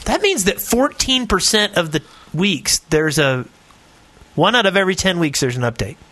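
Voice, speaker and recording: A man's voice: sits high, very animated, moderate pace, sharp tone. A man co-hosting a podcast in casual, playful group banter. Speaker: podcast co-host.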